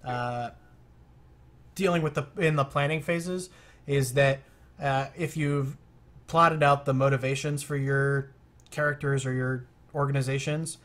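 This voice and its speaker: A man giving casual feedback as an experienced game master, explaining with many fillers and pauses. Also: medium pitch, fairly even intonation, a bit bright. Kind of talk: casual feedback discussion on a call.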